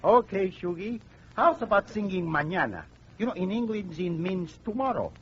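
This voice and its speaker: A man playing an excitable, blunt Spanish-speaking guest in a 1940s radio comedy. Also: high-pitched, moderate pace, slight background noise. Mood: blunt, agreeable. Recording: dull, lo-fi 1940s radio recording with music.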